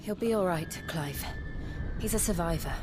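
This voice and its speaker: An actress playing a steadfast, caring young warrior in a video game drama, earnest and resolute. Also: female voice, lowish voice, moderate pace, noisy background, close to an everyday voice. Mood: concerned, urgent. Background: orchestral music.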